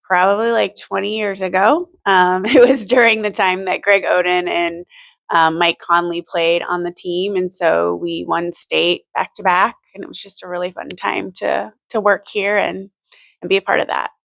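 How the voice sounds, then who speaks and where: Woman, school staff, gravelly creaky voice, dry close sound, relaxed interview answers. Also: on the low side, moderate pace, no echo.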